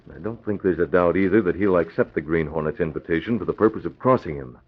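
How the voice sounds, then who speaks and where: An actor playing a confident masked vigilante in a 1940s radio adventure, firm and decisive. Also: male voice, low voice, fast. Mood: calm, planning. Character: confident masked vigilante posing as lone-wolf racketeer. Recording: muffled, hissy old radio recording.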